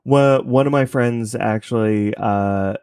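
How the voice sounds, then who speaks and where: A man's voice: fairly low pitch, slow, a little mellow, smooth, no echo, clean studio sound. A man talking casually as an interview guest, explaining things in an informal way. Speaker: interview guest, podcast creator.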